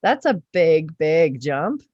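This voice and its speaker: A woman podcast host with a slightly low voice, speaking casually and with effusive emphasis. She sounds enthusiastic as she interviews, in a dry recording with very little room sound. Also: very animated, slow, a little mellow, no room sound, dull audio.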